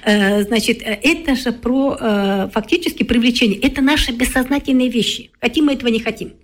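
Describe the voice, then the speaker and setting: Measured woman lecturing on parenting. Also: a little high. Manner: measured and lecturing, with frequent "right?" tags and pauses. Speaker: expert guest on parenting. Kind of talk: explanatory talk-show discussion.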